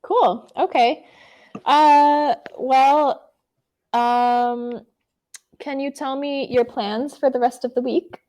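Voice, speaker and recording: A woman's voice: high-pitched, fairly even intonation, slow pace, a little mellow. A woman from the United States tutoring English in an online conversation over a video call, speaking encouragingly with frequent fillers.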